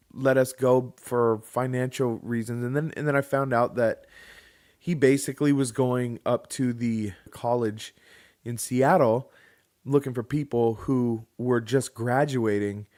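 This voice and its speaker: Male podcast co-host in his thirties, mid-pitched, rambling casual storyteller. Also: darkish tone. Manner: rambling with restarts, joking asides.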